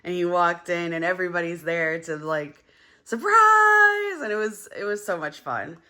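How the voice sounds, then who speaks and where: A young woman chatting casually as she counts cash for her budget, her voice a little gravelly and hoarse from just waking up, rambling with lots of fillers. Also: lowish voice, animated, moderate pace, bright, forceful tone. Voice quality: gravelly early-morning voice. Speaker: budgeting vlogger.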